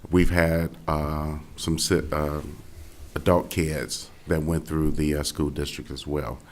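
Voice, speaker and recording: A man from Gary, Indiana, hoarse and raspy, giving an earnest, halting speech as a school board candidate at a forum. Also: very deep voice, flat delivery, slight background noise. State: hoarse from cheering.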